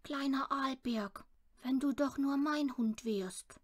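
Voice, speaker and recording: A woman's voice: sits high, takes it slowly. A woman voicing a tender, anxious little boy in a storybook, earnest and pleading. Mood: sad whisper.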